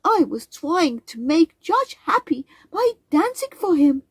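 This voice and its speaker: A woman voicing a small, frightened male squirrel with a squeaky, tearful voice. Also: very animated, takes it slowly, no room sound, exaggerated voice. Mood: upset.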